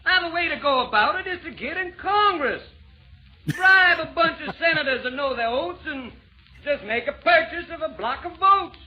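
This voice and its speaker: Old-time radio schemer: crooked folksy Southerner, very high drawl, muffled vintage sound. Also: male voice, animated, moderate pace. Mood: sly, folksy. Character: crooked, folksy Southern slaver villain plotting bribery. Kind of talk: 1930s-40s American radio verse comedy acting.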